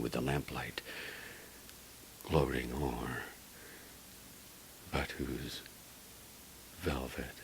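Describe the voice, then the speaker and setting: Creaky, whispering man reciting dramatic poetry. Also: very deep voice, fairly bright tone, a little hiss or hum, low-quality recording. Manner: intense, melodramatic, drawn-out delivery. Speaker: poetry reader.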